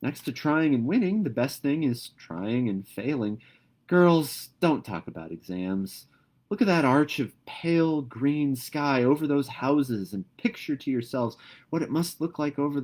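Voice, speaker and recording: A man's voice: mid-range voice, lively intonation, a little slow, a little mellow, voice pushed up. A man plays a teenage schoolgirl from a storybook, ardent and dramatic. The voice is lifted above his usual talk, and the phrasing is earnest and flowery. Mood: dreamy, elated. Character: dreamy, ardent, dramatic teenage girl student.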